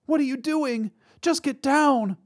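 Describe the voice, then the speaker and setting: A man puts on a terrified young mother for an audio drama reading. The voice is pushed very high, snappish and frantic, crying out and pleading. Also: a little slow. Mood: desperate.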